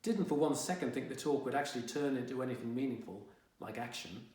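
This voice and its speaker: A man's voice: medium pitch, quick pace, a little mellow, distant mic, natural voice. Sullen teen boy narrator played by a man, wry and indignant, young adult audiobook, very echoey room. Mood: wry, uneasy. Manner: wry, dramatic, exasperated teenage grumbling. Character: sullen, indignant fifteen-year-old boy telling his story. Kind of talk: first-person young adult audiobook reading.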